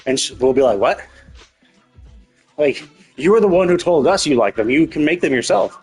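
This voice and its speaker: A man's voice: on the high side, lively intonation, normal speed, darkish tone, husky, soft background music. A man giving casual, speculative game commentary as a live streamer, thinking aloud and often rephrasing himself.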